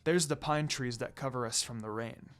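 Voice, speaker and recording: A man playing a quiet, brooding protector. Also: mid-range voice, normal speed, smooth, soft background music, pitched below the performer's own voice, close to an everyday voice. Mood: gently protective. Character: stoic, protective male guardian, quiet and brooding.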